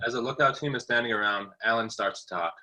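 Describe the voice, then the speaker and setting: Sharp, very bright male narrator. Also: medium pitch, fast, low-quality recording. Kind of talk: scripted narration at amateur table read.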